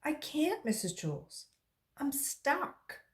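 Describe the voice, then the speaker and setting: A woman's voice: sits high, sing-song, takes it slowly, pitched above the performer's own voice, exaggerated voice. A woman voicing a big-mouthed, dramatic, whiny tattletale schoolboy in a children's storybook. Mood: whiny, dismayed.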